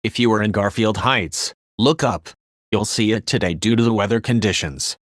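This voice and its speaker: A man's voice: lowish voice, moderate pace, no echo, studio quality. A man reading a scripted local news report as a reporter.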